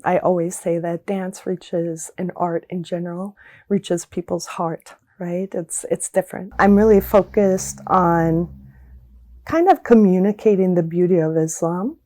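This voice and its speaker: A woman's voice: fairly low pitch, a little slow, warm tone, no echo. A woman who dances, speaking earnestly and reflectively in an interview, often with ums and likes. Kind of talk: documentary interview.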